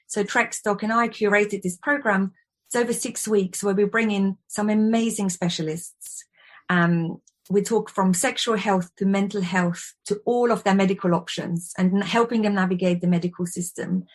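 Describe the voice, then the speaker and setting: A woman's voice: medium pitch, fairly even intonation, normal speed. Chatty woman in her forties. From Austria. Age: 40s. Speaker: guest, wellbeing expert and yoga teacher. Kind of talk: conversational interview.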